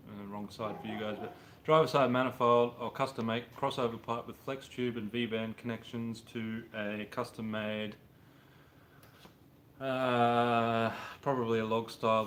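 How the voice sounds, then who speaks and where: Australian man in his thirties, laid-back rambling host, mid-pitched voice, faint workshop hum behind, webcam sound. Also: a bit bright. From Brisbane, Australia. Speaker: car workshop livestream host. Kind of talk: casual livestream chat answering viewers. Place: home mechanic workshop, car on a hoist.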